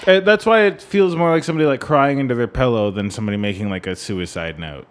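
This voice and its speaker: A man's voice: mid-range voice, fast. A man co-hosting a casual, analytical music talk in an informal, meandering way, often checking for agreement. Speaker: podcast co-host. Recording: faint background room noise.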